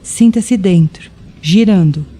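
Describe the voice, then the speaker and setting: A woman leading a scripted guided meditation in deliberate, soothing short phrases. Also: medium pitch, warm tone, slightly rough. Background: music, rain and thunder.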